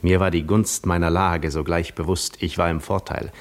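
Cunning Greek war veteran, low voice, measured, reflective, self-assured, articulate, 1950s radio play monologue. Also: male voice, level tone. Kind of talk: 1950s radio drama monologue, literary first-person narration.

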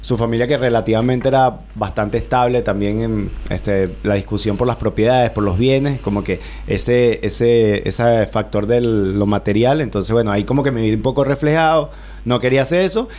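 A Venezuelan man in his thirties, sitting as a guest in a radio studio. He talks in a loose, rambling way, circling back, restarting phrases and filling pauses as he tells his travel story. His voice sits at an ordinary middle pitch. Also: darkish tone. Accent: Venezuelan Spanish. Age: 30s. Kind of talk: relaxed radio interview.

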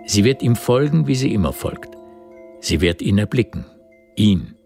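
Male narrator for literary audio drama, deep register, measured scripted delivery, faint music behind. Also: sing-song, gravelly, darkish tone. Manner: measured, terse present-tense storytelling.

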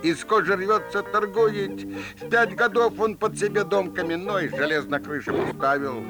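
An actor playing a sly, folksy storyteller, narrating a fairy tale in rhyming, theatrical style. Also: male voice, sits high, normal speed, over music. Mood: folksy, showy.

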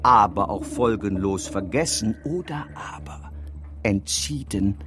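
A man's voice: fairly low pitch, a little slow, natural voice. An actor playing a domineering PR consultancy boss in a satirical radio drama, pompous and rhetorical as he briefs his team. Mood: indignant, scheming. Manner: pompous, rhetorical, piles up clauses. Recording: music behind the voice.

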